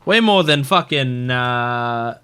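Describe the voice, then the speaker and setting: A man's voice: medium pitch, animated, takes it slowly, bright, forceful tone, smooth. A man giving informal gameplay reaction commentary, musing aloud and swearing now and then. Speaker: gaming video host.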